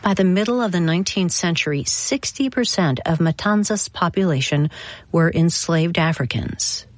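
A woman hosting a classical music radio show, reading scripted introductions in a measured storytelling manner. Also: fairly low pitch, lively intonation, no echo.

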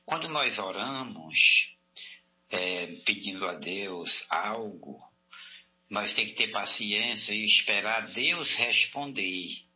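A man from Salvador, a pastor calling a radio show and answering slowly and deliberately like a preacher. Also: on the low side, expressive, bright, forceful tone, dull audio. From Salvador, Bahia, Brazil. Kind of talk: call-in testimony.

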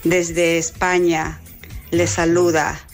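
A woman's voice: fairly low pitch, little pitch movement, slow. A woman with a coastal Ecuadorian accent chatting casually to a radio station as a listener asking for music. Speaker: radio listener sending a song request. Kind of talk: casual chat.

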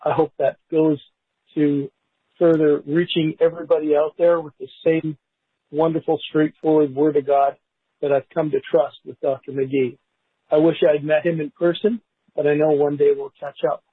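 A man in his sixties giving a heartfelt personal testimony in a voicemail, halting and pausing mid-sentence. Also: on the high side, little pitch movement, husky, no room sound. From Canada. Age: 60s. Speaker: listener leaving a voicemail, school bus driver. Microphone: phone. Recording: tinny, narrow phone voicemail line.

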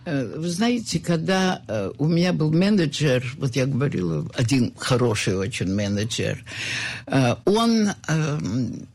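Older woman reminiscing with halting fillers. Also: extremely low pitch, very animated, a little mellow. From Soviet Union. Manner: rambling, halting with many fillers. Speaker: guest, concert pianist. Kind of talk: reminiscing interview talk.

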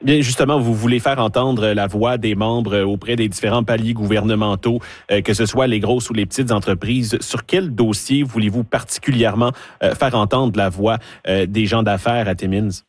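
A man hosting a radio interview, speaking in a measured way with frequent hesitations. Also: lowish voice, fairly even intonation. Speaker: radio show host. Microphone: studio microphone.

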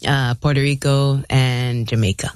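A woman from the Philippines tells her life story as a radio guest. She is chatty and relaxed, with fillers and soft laughs. The recording is dry and close. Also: rumbling low, unhurried, clean tone, no room sound. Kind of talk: conversational storytelling.

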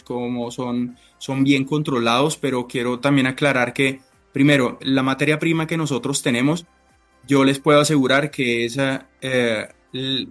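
Man, mid-range pitch, halting and deliberate, interview guest, faint music behind. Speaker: interview guest, restaurant chef. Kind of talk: conversational interview answers.

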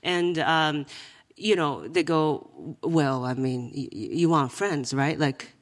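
A woman comedian chatting as a guest, telling stories in an animated way with many restarts and laughs. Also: low-pitched, takes it slowly. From Japan. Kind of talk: casual podcast chat.

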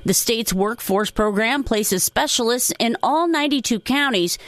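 Crisp female radio newsreader. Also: a little high, lively intonation, no room sound. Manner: crisp, measured newsroom delivery. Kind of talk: scripted news reading. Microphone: studio microphone.

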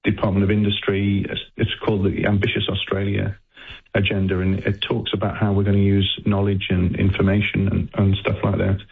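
An older man with a Liverpool accent speaks haltingly as a scientist guest on a phone interview. His low voice is gravelly and husky, flat in tone. The sound is thin and tinny, like a phone line. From England. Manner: halting, repeats words while thinking.

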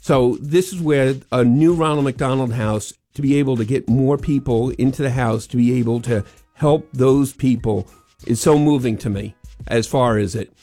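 A man's voice: mid-range voice, normal speed, warm tone, soft background music. An older man with a New York accent, a guest on a radio show, talking earnestly and rambling a little about a charity sponsorship. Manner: earnest, rambling, repeats "as far as it". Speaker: sponsor guest, restaurant owner. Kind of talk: radio interview.

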